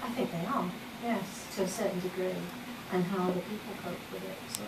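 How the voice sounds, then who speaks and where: A woman's voice: lowish voice, steady tone, a little fast. A woman on a panel speaking plainly, with small hesitations. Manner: plain-spoken, a little hesitant. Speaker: panel member. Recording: echoey room, distant mic, background noise.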